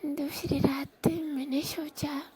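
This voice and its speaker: A woman telling an intimate confessional story in a hushed, breathy and halting way. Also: high voice, little pitch movement, very noisy. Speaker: storyteller in a staged call.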